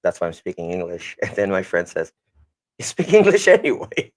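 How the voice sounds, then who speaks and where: A man's voice: low-pitched, wildly swooping pitch, fairly quick, a little hiss or hum. A man with a Filipino accent, whose first language is Kapampangan, co-hosting a casual livestream, reading viewer comments aloud and laughing often. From Philippines. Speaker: livestream co-host.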